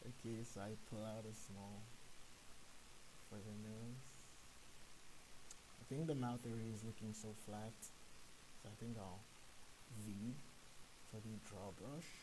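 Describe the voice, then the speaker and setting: A man's voice: fairly low pitch, fairly even intonation, a little slow, pauses often. A man chatting casually and self-consciously on a live stream as he works, nervous and hesitant, over a hissy microphone. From Nigeria. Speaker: streamer and 3D sculpting artist. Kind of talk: casual live-stream chat while sculpting.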